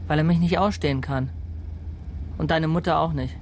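An actor playing a sensitive young ex-convict in a radio drama, speaking precisely, touchy and quick to correct. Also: male voice, fairly high pitch, fairly even intonation, slight background noise, close to an everyday voice. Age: young adult.

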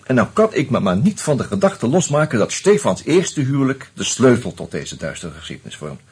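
A husky, agitated amateur sleuth. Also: male voice, mid-range voice, sing-song, fairly quick, heavily acted. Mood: brooding, intent. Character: earnest, agitated amateur sleuth, a murdered man's loyal friend. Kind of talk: Dutch radio play acting.

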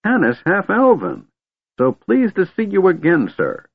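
Storybook old wizard: high-pitched, wildly swooping, doddering and pompous, muffled phone-like sound. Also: male voice, very animated, moderate pace, husky, no echo. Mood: eager, delighted. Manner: indignant, muttering, flustered.

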